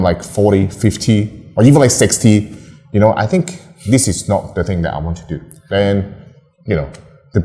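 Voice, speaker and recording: A young man from Hong Kong tells his story in a loose, rambling way, full of fillers and restarts. His voice sits low and mellow, but his pitch swings up and down a lot. Faint music plays underneath. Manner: laughs often, rambling with many fillers and restarts. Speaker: guest photographer. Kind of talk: casual interview chat.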